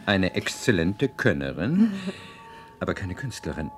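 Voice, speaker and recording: An actor playing a courteous, flattering palm reader in a German radio play, delivering measured pronouncements with obsequious politeness. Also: male voice, low-pitched, faint music behind, full character voice. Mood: solemn, courteous.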